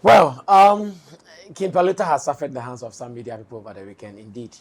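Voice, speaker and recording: A man with a Ghanaian accent hosting a studio talk show, hoarse-voiced, steering the discussion and laughing easily. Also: on the high side, animated, brisk. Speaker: show host.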